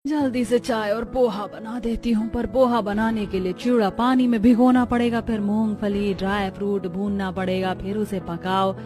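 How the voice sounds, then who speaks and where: A woman's voice: medium pitch, steady tone, normal speed, few pauses, a little mellow, faint music behind, close to an everyday voice. A woman voicing an irritable, lazy and haughty housewife in a cartoon story, grumbling and scornful. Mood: reluctant muttering. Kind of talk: Hindi cartoon story acting.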